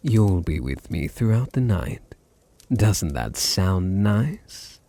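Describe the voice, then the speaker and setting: A British man playing a possessive, teasing vampire master in an intimate ASMR roleplay, with a deep, husky, gravelly voice and a condescending purr. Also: sing-song, no room sound, exaggerated voice. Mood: smug, cold. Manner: slow, purring, condescending, softly teasing.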